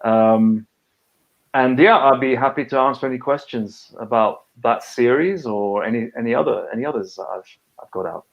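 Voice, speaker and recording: A man's voice: medium pitch, moderate pace. Hesitant man on a muffled video call. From half British, half French. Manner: hesitant, many fillers and restarts. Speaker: author and conservation biologist. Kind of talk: casual interview over a live video call.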